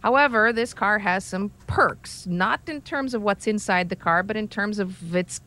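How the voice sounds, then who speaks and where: A woman's voice: mid-range voice, moderate pace, bright, forceful tone. A woman hosting a morning radio show, interviewing a guest.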